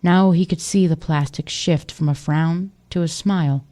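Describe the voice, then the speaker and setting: Woman, narrator, very low pitch, dark mellow tone, scripted audio drama storytelling. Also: steady tone, moderate pace.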